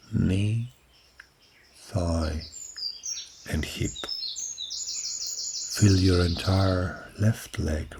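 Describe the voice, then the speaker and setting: A man guides a body-scan meditation in a deep, low voice with a gravelly edge. He speaks extremely slowly and softly, leaving long pauses. Birds chirp clearly in the background throughout. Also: slight background noise. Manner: slow, soft, calm guiding delivery with long pauses. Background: recorded birdsong from woods.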